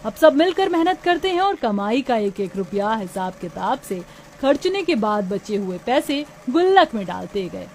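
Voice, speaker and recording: A woman's voice: on the high side, very animated, moderate pace, darkish tone, slight background noise. A woman reading scripted narration for a cartoon moral story as storyteller.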